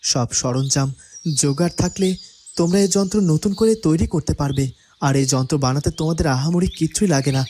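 An actor voicing a commanding young prince in a cartoon, firm and insistent. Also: male voice, on the high side, dark tone, a little hiss or hum, close to an everyday voice. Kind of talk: cartoon dubbing.

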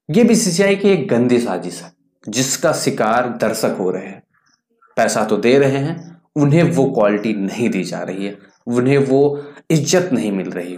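A man's voice: medium pitch, a little mellow. Emphatic young man in an echoey room. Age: 20s. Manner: emphatic, rhetorical questioning. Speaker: cricket news YouTuber. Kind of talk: opinionated commentary to camera. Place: small indoor room.

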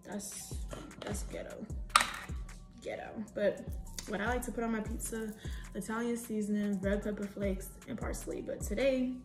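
A woman's voice: mid-range voice, normal speed, echoey room, music in the background. Chatty young woman vlogging from her kitchen. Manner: chatty, slangy, animated, talks while cooking. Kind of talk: casual vlog chat to camera. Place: home kitchen, indoors. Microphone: camera on a tripod at a distance.

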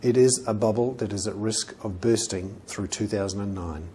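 A middle-aged man with a New Zealand accent presenting an economic commentary to camera in measured, emphatic phrases with deliberate pauses. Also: fairly low pitch, dark tone, a little hiss or hum. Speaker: financial journalist presenting an economic report. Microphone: lapel mic.

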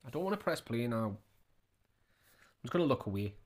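A man's voice: medium pitch, big pitch swings, brisk. A man in his thirties giving casual, scornful reaction commentary, often repeating his questions. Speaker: reaction video host.

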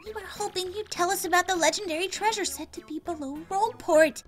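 A woman's voice: very high-pitched, fast, soft background music. A woman voicing a perky, brainy, sassy young female game creature, with emphatic valley-girl phrasing. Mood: eager.

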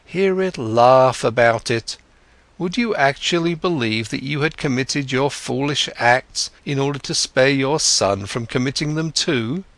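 A man voicing a gentle, patient old male ferryman who asks calm, searching questions. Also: medium pitch, measured pace, no room sound.

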